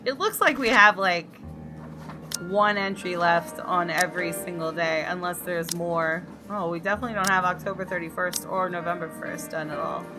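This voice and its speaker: A woman's voice: on the low side, moderate pace. A woman reading visual novel text aloud and reacting casually and expressively as she plays. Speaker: gamer doing a visual novel let's play. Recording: music under the voice.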